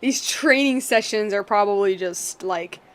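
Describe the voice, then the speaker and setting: A woman giving casual, playful commentary as she plays through a game, rambling and excitable. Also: mid-range voice, unhurried. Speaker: gaming streamer. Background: game music.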